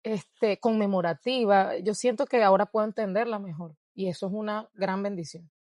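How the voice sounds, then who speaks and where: A woman's voice: medium pitch, normal speed, a little mellow, no room sound, studio quality. A Venezuelan woman with a Venezuelan Spanish accent, answering interview questions in a reflective way and laughing often. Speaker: guest, dancer and researcher. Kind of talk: interview conversation.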